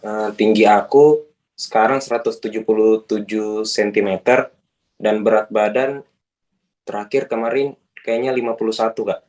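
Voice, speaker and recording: A man's voice: lowish voice, fairly even intonation, moderate pace, darkish tone. A young man from Kalimantan introducing himself politely and a little hesitantly in an online interview. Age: young adult. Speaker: model applicant.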